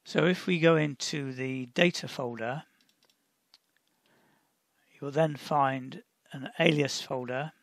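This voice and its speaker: A man narrating a step-by-step software tutorial in a deliberate, instructional way, often pausing mid-sentence. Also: mid-range voice, dry recording. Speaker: tutorial presenter.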